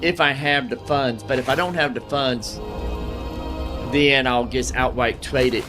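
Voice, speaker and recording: A man's voice: mid-range voice, steady tone, unhurried, music in the background. A man giving casual, informal let's play commentary as a gaming host, often repeating phrases to himself.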